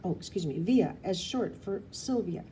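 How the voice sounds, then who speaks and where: A woman reading a children's novel aloud, an expressive narrator with dramatic emphasis. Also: on the low side, normal speed, a little hiss or hum. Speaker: audiobook reader. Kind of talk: expressive storybook narration, first person as a girl.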